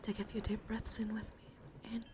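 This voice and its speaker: A woman livestreamer giving a guided ASMR relaxation in a gentle, soothing, encouraging manner. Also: medium pitch, completely flat delivery, normal speed. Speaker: livestream host.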